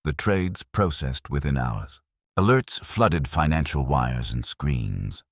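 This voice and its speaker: A man narrating a scripted first-person drama in a measured, dramatic storytelling delivery. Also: rumbling low, animated, no echo, studio quality.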